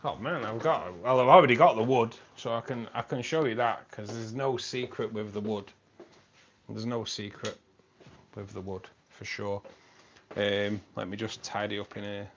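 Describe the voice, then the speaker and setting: Chatty low-voiced man, lo-fi stream. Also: moderate pace, slight background noise. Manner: chatty, rambling, keeps repeating himself. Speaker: live stream host. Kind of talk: casual live stream chat. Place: home room with fish tanks.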